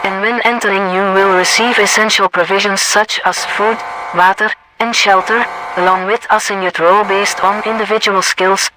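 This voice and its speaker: A man's voice: high voice, fairly even intonation, normal speed, noisy background, close to an everyday voice. A man voicing a formal broadcaster for a post-apocalyptic government, reading a scripted announcement to survivors. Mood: formal, official. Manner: formal, declarative.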